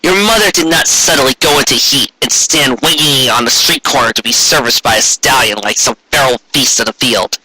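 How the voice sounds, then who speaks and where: A man reading a story aloud as a dramatic narrator, with expressive emphasis and wry asides. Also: medium pitch, brisk, hardly any pauses, husky, rough recording. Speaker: fan fiction reader.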